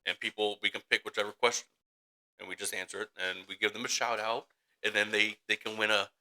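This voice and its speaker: A man's voice: lowish voice, little pitch movement, brisk, pushed and bright, poor audio. A man co-hosting a casual podcast with his brother, telling rambling stories and laughing often. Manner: rambling storyteller, laughs a lot, acts out voices in anecdotes. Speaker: podcast co-host. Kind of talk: casual chat between brothers.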